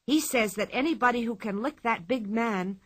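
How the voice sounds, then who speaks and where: A woman's voice: on the high side, moderate pace, close to an everyday voice. A woman reading a storybook aloud, voicing an eager young boy who explains things to his friend.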